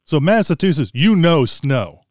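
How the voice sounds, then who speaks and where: A man's voice: on the high side, very animated, moderate pace, darkish tone, no echo, clean studio sound. A middle-aged American man hosting a podcast in a casual, conversational interview style. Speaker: podcast host interviewing a guest.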